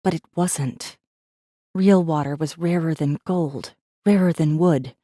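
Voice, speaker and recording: A low, mellow-voiced defiant captive. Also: female voice, slow pace, dry recording, studio quality. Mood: brooding. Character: defiant, bitter captive woman, a rebel assassin. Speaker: audiobook narrator. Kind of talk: first-person dramatic narration.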